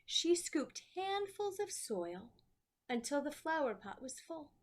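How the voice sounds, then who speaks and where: A woman reading a children's story aloud with deliberate phrasing and dramatic emphasis. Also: high-pitched, big pitch swings.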